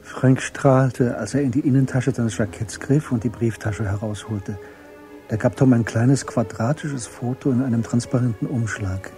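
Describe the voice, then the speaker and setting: A man's voice: mid-range voice, normal speed, mellow, lightly acted. A man reading scripted literary narration as the narrator of a German radio drama. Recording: music behind the voice.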